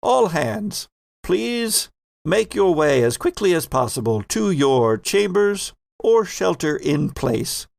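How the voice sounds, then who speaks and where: A man puts on an automated sci-fi station announcement, pitched up high. It is measured, formal and urgent, delivered with a dry, close sound as in a tabletop game. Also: sing-song, creaky, a little mellow, no echo, exaggerated voice. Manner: measured, repetitive public announcement.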